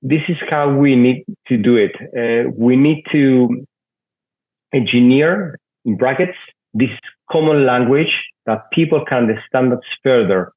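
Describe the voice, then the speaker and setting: A man whose first language is Greek, speaking slowly and deliberately at a panel discussion, often searching for words. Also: medium pitch. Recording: tinny phone-like call audio.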